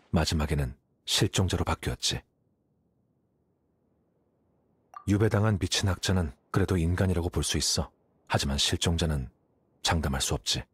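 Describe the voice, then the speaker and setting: An actor playing an aloof, rational young scholar in an anime game, coolly self-assured, speaking in a measured, matter-of-fact way. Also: male voice, extremely low pitch, husky, exaggerated voice. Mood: analytical, calm.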